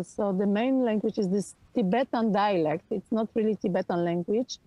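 A woman in her forties from Poland with a Polish accent, a film director talking over a video call from outdoors with an earphone mic, pausing often to search for words. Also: mid-range voice, dark tone, no echo, low-quality recording. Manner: halting, searching for words with many fillers. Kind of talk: remote interview. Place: outdoors on a hillside.

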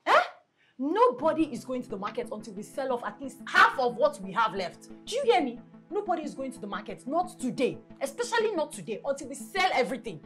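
A woman with a Nigerian accent playing a stern, exasperated mother who runs a restaurant and scolds with sharp questions in a film drama. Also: sits high, sing-song, brisk, soft background music. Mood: stern scolding.

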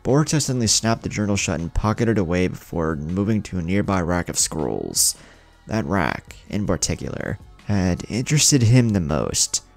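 A man's voice: low voice, big pitch swings, moderate pace, vocal fry. A man narrating a scripted dramatic story as the storyteller. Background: music.

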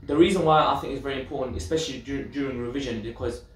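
Fast-talking young man in an echoey room. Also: medium pitch. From Pakistan. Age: young adult. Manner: earnest, explanatory, gestures while talking. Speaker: guest. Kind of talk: podcast interview about memorizing the Quran. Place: room with bookshelves, indoors. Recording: echoey room, mic at a distance.

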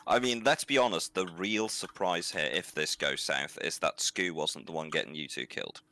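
A man's voice: low voice, moderate pace, no echo. A man gaming with friends, talking wryly and animatedly. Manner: animated, wry, prone to bursts of laughter. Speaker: streamer. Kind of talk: casual gaming chat.

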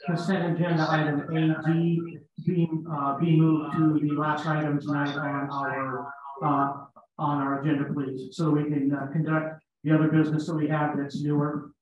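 A man's voice: a little high, little pitch movement, moderate pace, lo-fi sound. Insistent councilman in a very echoey chamber. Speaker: city council member. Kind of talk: formal debate at a public meeting. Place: large indoor council chamber, room microphones. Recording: very echoey council room, distant mic, noisy.

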